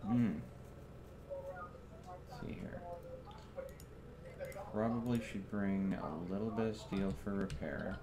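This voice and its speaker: A man's voice: lowish voice, slow, long pauses, a crowd in the background. A man hosting a live gaming stream, chatting casually as he plays. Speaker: video game streamer, host. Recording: clearly noisy home stream recording.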